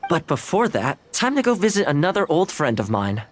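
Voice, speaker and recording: A man's voice: on the high side, huge pitch swings, fairly quick, slight background noise. An actor playing a friendly, helpful young man in a video game, upbeat and conversational. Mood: confiding.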